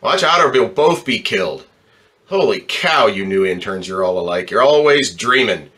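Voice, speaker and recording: A jaded, streetwise ambulance driver played by a man in an old-time radio drama. The voice is lowish and forceful, with a gruff, slangy, wisecracking delivery full of cynicism. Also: animated, moderate pace. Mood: brash, urgent.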